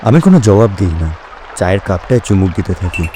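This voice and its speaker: A man playing a detective's friend who narrates a mystery in measured, atmospheric literary storytelling. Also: on the low side, very animated, background noise. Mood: measured, suspenseful. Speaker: narrator in an audio drama.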